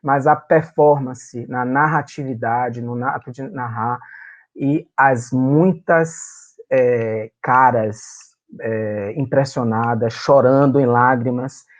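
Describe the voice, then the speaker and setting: A man from Salvador, a performer and writer, talking with emotion as a guest in an interview and often pausing with fillers to find his words. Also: medium pitch. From Salvador, Brazil. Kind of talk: remote interview, reminiscing.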